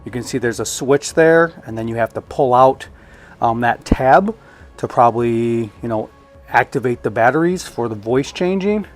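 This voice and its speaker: Male reviewer, mid-pitched, chatty and unscripted hands-on unboxing delivery, light music underneath. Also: lively intonation, normal speed. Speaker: product reviewer.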